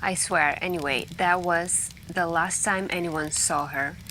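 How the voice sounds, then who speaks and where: Woman, unhurried pace, soft and intimate, slipping into whispers, ASMR spooky storyteller, faint background ambience. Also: lowish voice. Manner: hushed and intimate, drifting into whispers, with pauses. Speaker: ASMR roleplay performer playing a friend telling campfire stories. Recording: steady background ambience noise. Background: crackling campfire and night ambience.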